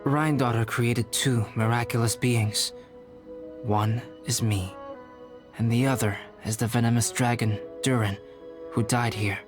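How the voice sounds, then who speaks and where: A man's voice: medium pitch, steady tone, music in the background, lightly acted. A man playing a composed, aloof young alchemist in a video game, speaking formally and thoughtfully. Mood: brooding. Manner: measured, formal, scholarly. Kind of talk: dramatic video game voice acting.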